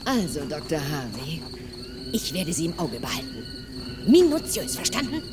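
An actor playing a curt, impatient, scheming character in a German audio drama. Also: male voice, on the high side, wildly swooping pitch, normal speed, background noise. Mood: indignant, threatening.

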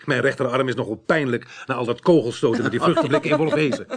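An actor playing a courteous, probing police inspector with a quietly wry manner in a radio drama. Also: male voice, medium pitch, wildly swooping pitch, quick pace, husky, exaggerated voice. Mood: courteous, pleased. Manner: courteous, repeats himself, probing.

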